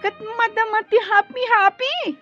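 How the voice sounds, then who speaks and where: A woman's voice: very high-pitched, unhurried, fairly bright tone. A woman with an Ilocano accent playing a defiant, tipsy daughter whining back at her father in a radio drama. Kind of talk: Filipino radio drama acting. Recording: dull lo-fi radio audio over music.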